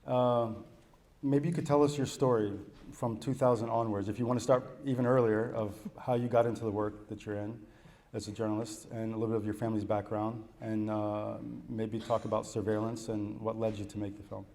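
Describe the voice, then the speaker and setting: A man's voice: fairly low pitch, little pitch movement, fairly quick, mellow. A man moderating a conference panel, asking loose conversational questions. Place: conference hall.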